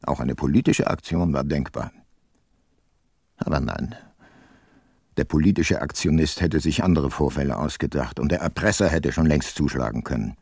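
A middle-aged private detective for a radio play. His low voice is gravelly and very close and dry in sound. He is laconic and ironic, never in a hurry. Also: moderate pace, darkish tone, no room sound, exaggerated voice. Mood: wry, reflective. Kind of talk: radio drama narration and dialogue.

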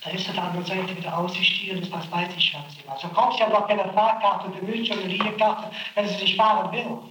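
A man's voice: sits high, fairly even intonation, quick pace, echoey room, crowd noise, low-quality recording. Exhausted, pleading man on an old tape. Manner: pleading, exasperated, repeats himself. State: exhausted, complains of headache. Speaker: suspect under interrogation. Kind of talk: archival interrogation recording.

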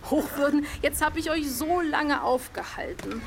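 An eager doctor's servant in a radio play. The voice is high, chatty and deferential with little mutterings, amid clear outdoor noise. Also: female voice, unhurried. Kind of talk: radio drama acting.

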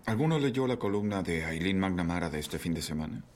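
A man dubbing a calm, measured new newspaper editor in a Spanish film dub. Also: low voice.

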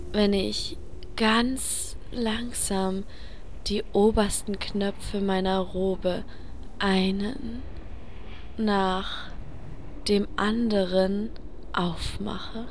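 A commanding, exasperated adventurer woman, played by an actress in a fantasy comedy radio play. She speaks slowly and with sarcasm, at an average pitch, over faint background noise. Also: flat delivery. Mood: seductive. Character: bossy, exasperated female guild adventurer in a robe.

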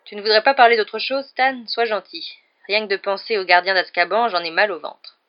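A woman's voice: fairly low pitch, a little fast, close to an everyday voice. A grim, grumbling male bus driver from a storybook, acted out by a woman. He is nervous and gloomy by nature. The recording sounds a little muffled. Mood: uneasy, pleading.

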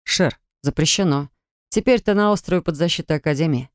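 Lady doing a calm young guy healer, low hushed voice, soothing, dry close sound. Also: brisk, no room sound, natural voice. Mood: quiet soothing. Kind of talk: audiobook character voice.

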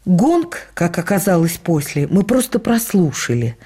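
An actress playing a writer's widow, fondly reading her memoir for radio in a measured, reflective storytelling manner. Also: lowish voice, very animated, mellow, slightly rough, full character voice. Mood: tender, wistful. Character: writer's widowed actress wife fondly recalling their life.

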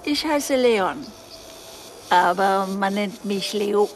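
A woman's voice: medium pitch, animated, slow, slight background noise. An actress playing an older, blunt and folksy village woman, self-assured and chatty, in a radio drama. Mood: matter-of-fact. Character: plucky old village woman, former guesthouse keeper, blunt and chatty.

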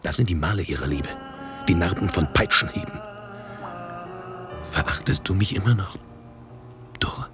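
An actor playing a bitter, hardened revolutionary and former prisoner in a German radio drama, grim and emphatic in manner. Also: male voice, sits low, big pitch swings, normal speed, pauses often, music in the background. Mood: bitter, hateful.

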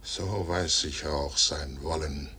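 An actor in a 1950s German radio drama playing a proud, stubborn, visionary general, husky and solemnly declamatory in verse. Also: male voice, rumbling low, steady tone, takes it slowly, sharp tone, a little hiss or hum, exaggerated voice. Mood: grave, certain.

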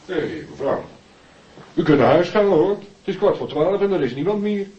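Lively, down-to-earth actor as night guard. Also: male voice, fairly high pitch, moderate pace, lightly acted. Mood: matter-of-fact. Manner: casual, plain-spoken. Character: down-to-earth male night security guard, practical and mildly sympathetic. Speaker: security guard. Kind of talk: naturalistic radio drama acting. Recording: roomy, dull, faintly noisy recording.

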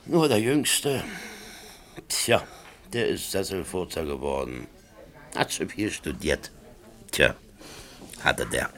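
An actor playing a gruff, blunt, grumbling old working man in a German radio play. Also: low-pitched, big pitch swings, slow, long pauses, a bit bright, full character voice. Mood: gruff, dry. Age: older.

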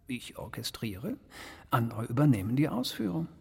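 An actor playing a courteous, menacing intellectual professor of crime in a radio drama, speaking in a polite, pompous, condescending way. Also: male voice, medium pitch, very animated, normal speed, gravelly, slightly rough, long reverb tail, full character voice. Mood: smug, composed.

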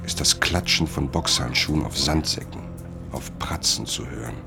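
A man's voice: a bass voice, moderate pace, vocal fry. An actor playing an obsessed, self-deluding male writer in a literary radio drama, with music behind him. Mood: grave, brooding. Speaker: lead actor and first-person narrator.

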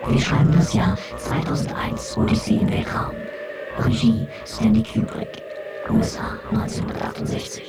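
A man playing a breathy, creaky science-fiction announcer in a radio feature, making portentous announcements. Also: high-pitched, normal speed, over music.

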